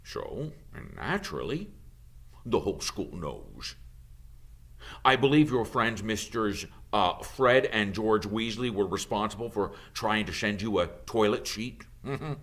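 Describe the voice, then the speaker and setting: A man voicing a kindly, calm old wizard headmaster in an audiobook, musing and hesitating as he gently reassures. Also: fairly low pitch, unhurried. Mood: amused, genial.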